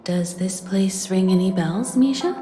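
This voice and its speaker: A woman's voice: medium pitch, unhurried, warm tone, slight background noise, natural voice. A woman acting a composed, assured, analytical mentor in a video game, poised and explanatory. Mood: thoughtful, reassuring. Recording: echoey sound with music behind.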